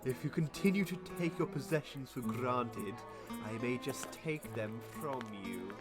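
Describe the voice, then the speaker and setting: Spoiled, sneering rival, male actor: theatrical, unhurried, lively pitch, over a clear music bed. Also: natural voice. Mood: sly, threatening. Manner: slow, condescending, theatrical. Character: smug, spoiled, mocking rich rival. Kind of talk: comedic audio drama acting.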